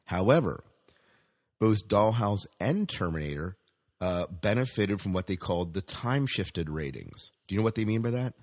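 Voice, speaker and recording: A man's voice: on the low side, lively intonation, moderate pace, darkish tone, no echo. A man hosting a casual news chat, speaking conversationally and often putting questions to his co-host. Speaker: podcast host reading entertainment news.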